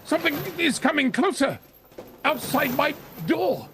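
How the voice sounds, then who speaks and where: A man's voice: very high-pitched, very animated, unhurried, noisy background, exaggerated voice. A man playing a grumpy, bullying old miser in an old-time radio drama, barking and grumbling, easily rattled into stammering. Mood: rattled, fearful.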